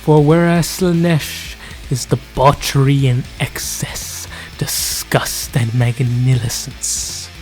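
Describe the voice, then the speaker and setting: Super slow spooky dramatic narrator, kinda whispery at times, grandiose lore storytelling, music playing behind. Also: medium pitch, rough recording. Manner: theatrical, drawn-out delivery with frequent hushed whispers. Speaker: narrator of a fantasy audio drama.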